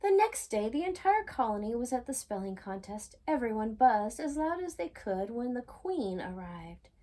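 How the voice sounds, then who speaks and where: A woman's voice: a little high, sing-song, moderate pace, warm tone. A woman reading a children's storybook aloud expressively, with chatty asides to the listener. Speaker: storytime reader.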